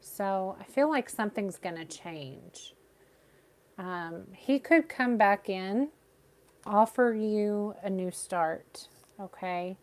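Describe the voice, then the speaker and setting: A woman giving a live tarot reading with a soothing, gentle voice, talking straight to her viewers. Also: mid-range voice, takes it slowly. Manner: gentle, reassuring, addresses viewers by name. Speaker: tarot reader.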